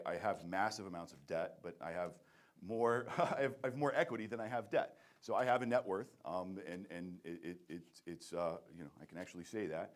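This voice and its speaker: A man who owns a print shop, answering questions in a halting, casual way at a conference panel, often repeating words. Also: low voice. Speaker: print shop owner, guest speaker.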